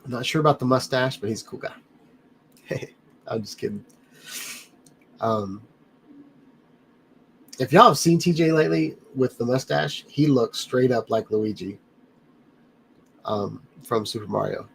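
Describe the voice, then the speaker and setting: A man's voice: mid-range voice, a little slow, gravelly, long pauses, slight background noise, poor audio. Congested man chatting on a livestream. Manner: rambling, friendly, addresses viewers by name. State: congested, blocked-up nose. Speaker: livestream host reading chat. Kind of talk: casual live chat. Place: home studio.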